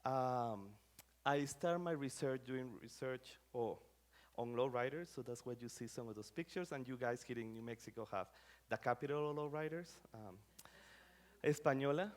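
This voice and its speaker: A man's voice: medium pitch, normal speed. Man giving a casual lecture. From Costa Rica. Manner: conversational, many fillers, non-native phrasing. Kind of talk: academic public talk.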